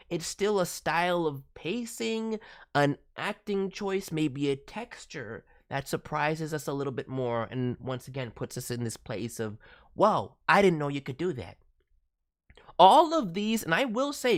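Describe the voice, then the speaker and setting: A woman working as a voice director, giving a casual spoken critique with advice in an emphatic manner. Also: low-pitched. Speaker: voice director reviewing demo reels.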